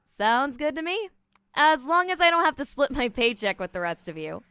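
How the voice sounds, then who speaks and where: Young female investigator played by a woman for audio fiction: high, bright voice, casual delivery. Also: expressive, moderate pace, no echo, full character voice. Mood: agreeable, wry. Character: Lauren, young woman investigator, deferential but wry.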